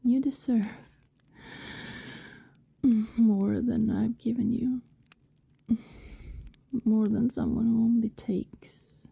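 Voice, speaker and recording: A woman playing an affectionate, wistful vampire neighbour in an intimate ASMR roleplay, speaking softly and tenderly, often in a hesitant whisper. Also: fairly high pitch, fairly even intonation, no room sound, lo-fi sound, full character voice. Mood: wistful, protective. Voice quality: soft, breathy, often whispered. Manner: slow, tender, hesitant murmuring.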